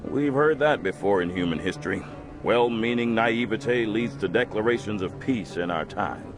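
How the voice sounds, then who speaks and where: Male zealot politician played by an actor, animated, lowish, polished speechmaker. Sci-fi game. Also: normal speed, music in the background, natural voice. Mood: fervent. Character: zealous male human nationalist politician on the campaign. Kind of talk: dramatic video game acting.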